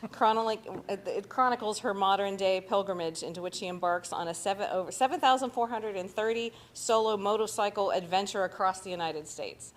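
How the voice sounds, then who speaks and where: A woman's voice: mid-range voice, brisk, hardly any pauses. A woman hosting an arts center event, reading a speaker's introduction from notes and paraphrasing with hesitations. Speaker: host. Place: arts center event, live audience and stream.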